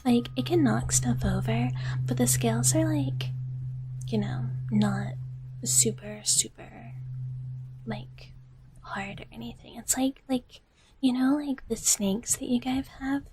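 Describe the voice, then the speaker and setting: A woman voicing a sweet, gentle dragon big sister in live ASMR, whispering softly and haltingly and often repeating her phrases. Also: fairly high pitch, slight background noise. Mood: gentle, cozy. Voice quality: soft, breathy whisper. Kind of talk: whispered live ASMR roleplay, chatting with viewers.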